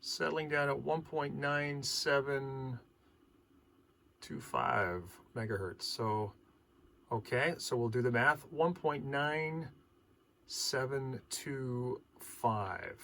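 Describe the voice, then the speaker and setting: Slow-paced man demonstrating electronics, noisy recording. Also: medium pitch, creaky. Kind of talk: hands-on technical demonstration, thinking aloud. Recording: steady background noise.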